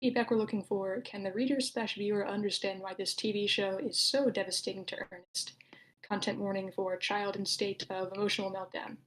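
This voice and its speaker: A woman's voice: mid-range voice, steady tone, a little fast, a little hiss or hum, poor audio. A woman casually hosting an online table read, giving announcements in an informal, chatty way. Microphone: own microphone in an online voice meeting.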